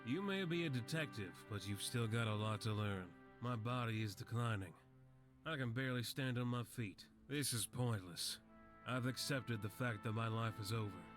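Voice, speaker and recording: A man playing a frail, sickly old veteran in a video game, weary, resigned and gentle. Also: mid-range voice, moderate pace, lightly acted. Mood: weary, matter-of-fact. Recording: game music behind the voice.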